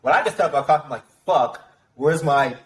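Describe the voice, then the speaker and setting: A man speaks quickly and emphatically, swearing freely as he makes his points. His voice rings in a roomy, echoey space. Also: a little high, a bit bright. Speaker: guest, active-duty soldier. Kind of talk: casual conversation.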